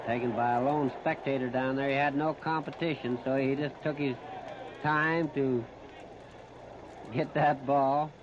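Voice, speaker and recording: An American man calling a baseball game live as a 1930s radio play-by-play announcer, narrating each moment in short, clipped phrases with pauses. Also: medium pitch, steady tone. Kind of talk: 1939 live radio sports commentary. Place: outdoor ballpark, broadcast position behind home plate. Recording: muffled, noisy 1930s radio disc recording.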